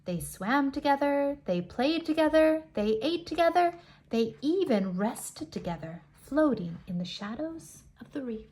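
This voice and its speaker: Animated, higher-voiced woman reading a children's story. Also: measured pace. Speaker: storyteller reading a picture book aloud. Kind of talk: expressive read-aloud for young children.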